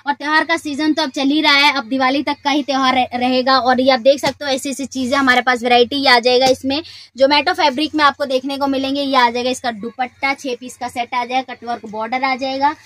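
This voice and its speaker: A woman's voice: high voice, little pitch movement, brisk, a bit bright, dry recording. A woman hosting a shop video who shows suits one after another and talks in a chatty, repetitive sales patter. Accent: Hindi speaker from North India. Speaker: shop video host showing wholesale suits. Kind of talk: product showcase sales pitch.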